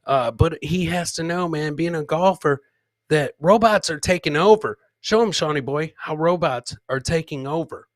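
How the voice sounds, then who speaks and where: A man's voice: on the high side, moderate pace, no echo. A man hosting a live comedy podcast, chatting informally and rambling as he introduces clips and talks to viewers. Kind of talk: casual live-stream chat, riffing on clips and reading viewer comments.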